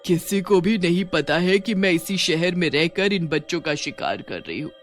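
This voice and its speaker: A woman's voice: on the low side, lively intonation, normal speed, faint music behind, natural voice. A woman voicing a gloating, menacing witch who preys on children and savours it, in a horror storybook. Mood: smug relish. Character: gloating child-eating witch posing as a kindly teacher.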